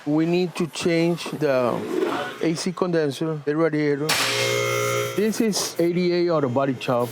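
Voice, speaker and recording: A man with a Spanish accent, a shop owner from Cuba and Venezuela, answering interview questions in earnest, halting English with non-native grammar, recorded in an auto repair shop. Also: a little high, heavy background noise. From born in Cuba, lived in Venezuela.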